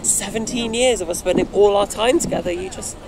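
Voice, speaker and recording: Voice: mid-range voice, fairly quick, natural voice. A young performer acting a bitter, wounded musician in a live play, speaking with reproachful, emphatic repetition. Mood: angry, wounded. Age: young adult. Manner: emphatic, reproachful, repeating phrases. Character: bitter, wounded young musician confronting an old best friend. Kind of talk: live theatre dialogue. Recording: noisy outdoor location recording.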